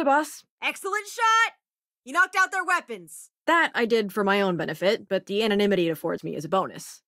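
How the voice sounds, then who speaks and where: An actress voicing a cynical, dry-witted spacefaring hacker in a video game, with a sardonic, conversational manner. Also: female voice, medium pitch, fairly bright tone, no room sound. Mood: wry, guarded, then urgent, combative. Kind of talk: video game companion voice lines.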